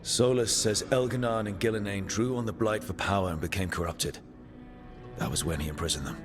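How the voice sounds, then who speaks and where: An actor playing an earnest, steady mage who leads a team in a fantasy video game, speaking in a measured, serious way. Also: male voice, lowish voice, over music, close to an everyday voice. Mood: grave. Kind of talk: cinematic video game dialogue.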